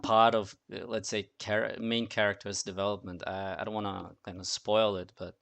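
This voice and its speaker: A man chatting casually on a podcast, speaking in halting, hesitant phrases. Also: fairly low pitch, flat delivery, vocal fry, fairly bright tone.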